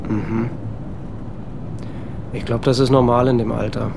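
A man's voice: mid-range voice, level tone, a little slow, creaky, background noise. A man acting an evasive, irritable husband in a naturalistic radio drama, giving hesitant, terse replies. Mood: defensive, curt. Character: evasive, irritable husband who secretly drinks.

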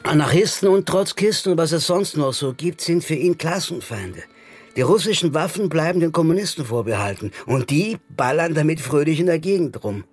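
An actor playing a jaded, defiant anarchist stage magician in a German radio crime drama, talking colloquially and chummily with a theatrical streak. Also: male voice, a little high, lively intonation, normal speed, husky. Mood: earnest, bitter. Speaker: supporting actor.

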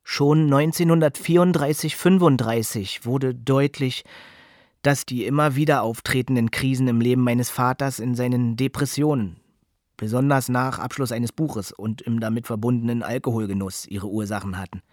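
An actor playing a writer's grown son, giving a sober, measured account in scripted narration. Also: male voice, mid-range voice, steady tone, a little mellow, no echo, clean studio sound, lightly acted.

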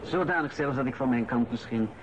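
An actor playing an incoming director who talks in a deliberate, pointed, probing way in a radio play. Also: male voice, mid-range voice, a crowd in the background, natural voice. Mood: pointed, insinuating. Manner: deliberate, insinuating. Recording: muffled recording with clear background noise.

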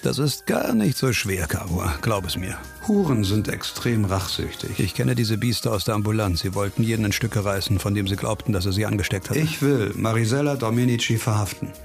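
An actor playing a dogged, sardonic police investigator in a radio crime drama, terse and assured. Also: male voice, fairly low pitch, expressive, fairly quick, vocal fry, hardly any pauses, soft background music. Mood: grim, determined.

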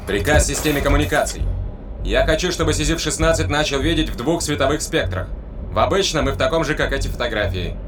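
A man voicing a firm, controlled leader in an audio drama, giving measured, clipped commands to a robot. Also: fairly high pitch, a bit bright, faint music behind, pitched above the performer's own voice, lightly acted.

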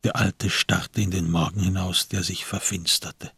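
Husky male narrator of a crime drama. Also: deep voice, little pitch movement, unhurried, a bit bright, no echo, studio quality. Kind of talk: scripted literary narration for radio crime drama.

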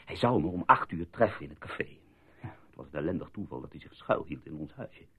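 Urgent reporter guy in a radio drama, low husky voice, old phone-like sound. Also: lively intonation, fast, exaggerated voice. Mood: explanatory. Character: urgent male newspaper journalist investigating a deadly cover-up. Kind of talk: Dutch radio play acting.

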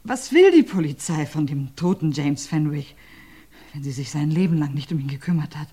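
An actress playing a bereaved, tense village widow in a German radio crime play, speaking with strained, solemn control. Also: female voice, sits low, animated, normal speed, dark tone, husky. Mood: bewildered.

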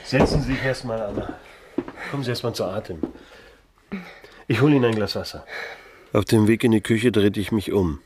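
An actor playing a dry, disillusioned, stubborn private detective in a radio drama, laconic and deadpan in dialogue and narration. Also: male voice, lowish voice, a little fast, pauses often, background noise, natural voice. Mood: sceptical, controlled.

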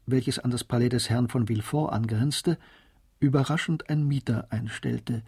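A man with a husky voice reading scripted narration for a radio drama. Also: medium pitch, mellow, no room sound, studio quality.

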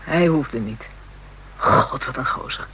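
Tough jaded hooker in a radio play, coarse n scornful, bit of noise. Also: female voice, very deep voice, slow, a bit bright, heavily acted, poor audio. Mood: scornful, amused. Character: brash, jaded, street-hardened woman, a prostitute. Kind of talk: Dutch radio play acting. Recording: radio drama with background noise.

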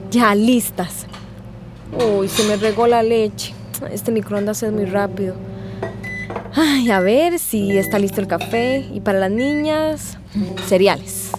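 An actress plays a resolute, polite migrant housemaid in a radio drama. Her medium-pitched voice is set over clearly audible music. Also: slow, natural voice. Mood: busy, deferential. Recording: music behind the voice.

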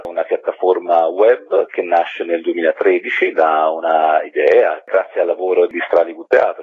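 Low-voiced man on a muffled phone line. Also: slightly rough. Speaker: guest, theatre company artistic director. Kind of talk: interview explanation of a project. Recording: muffled, narrow telephone line into radio.

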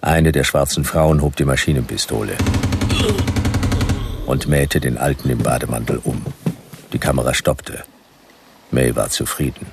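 A gravelly, very deep-voiced detective. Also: male voice, moderate pace, long pauses, far from the mic, noisy background. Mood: deadpan. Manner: laconic, dry, deadpan. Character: laconic, world-weary male private detective narrator. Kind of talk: noir radio play narration.

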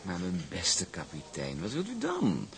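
A man playing a smug young shipping heir in a radio drama, speaking with formal, oily politeness and measured hesitations. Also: low-pitched, huge pitch swings, gravelly, a bit bright, full character voice. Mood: smugly patronising. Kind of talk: Dutch radio drama acting.

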